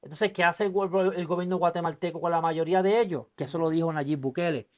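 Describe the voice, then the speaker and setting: A man's voice: fairly high pitch, steady tone, fast talker, darkish tone. A man hosting a podcast, talking casually about politics with a guest, speaking emphatically and acting out quoted dialogue in his stories. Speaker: podcast host.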